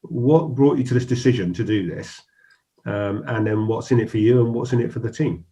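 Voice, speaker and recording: A man's voice: mid-range voice, a little fast, dark tone. A middle-aged man hosting a business talk show, interviewing a guest in a friendly, hesitant way with frequent restarts and fillers. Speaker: host.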